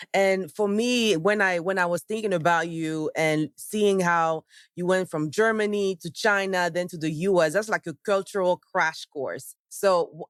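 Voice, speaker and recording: A woman's voice: lowish voice, dry recording, studio quality. A woman hosting a podcast interview in an upbeat, enthusiastic way. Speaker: podcast host.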